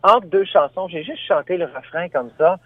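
A man's voice: high voice, lively intonation, normal speed, no room sound. Franco-Ontarian man on a tinny phone line. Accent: Franco-Ontarian French. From Ottawa, Ontario. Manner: hesitant, many fillers and restarts. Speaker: singer guest. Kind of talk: phone interview. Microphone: telephone.

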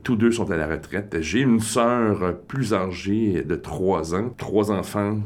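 A man in his forties speaks with a Franco-Ontarian French accent, in a voice that sits a little low. He talks casually as an interview guest and rambles through joking, self-deprecating stories. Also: takes it slowly. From Northern Ontario, Canada. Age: 40s.

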